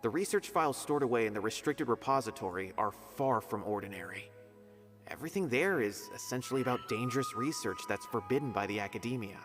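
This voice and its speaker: A man's voice: medium pitch, expressive, fairly quick, a bit bright, soft background music, lightly acted. An actor voicing an earnest young male scholar in a video game, explaining matters in a friendly, careful way.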